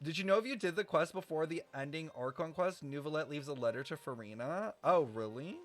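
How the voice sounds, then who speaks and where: A streamer giving casual, expressive and dramatic live reactions to a game. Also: medium pitch, brisk. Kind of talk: casual livestream reaction commentary.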